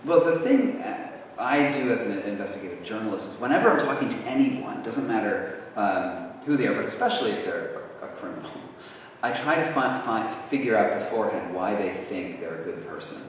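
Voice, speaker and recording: Male speaker, low voice, halting Q&A answers, distant mic in reverberant hall. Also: big pitch swings. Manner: halting, many restarts, casual. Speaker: guest speaker answering audience questions. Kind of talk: lecture Q&A. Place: university lecture hall. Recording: echoey hall from far off, faint noise.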